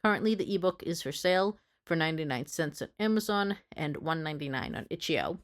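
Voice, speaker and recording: A woman with a low-pitched voice reads a prepared history lesson. She speaks earnestly and drops in small apologetic asides. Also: expressive, a little fast. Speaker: history video creator. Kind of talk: scripted educational narration.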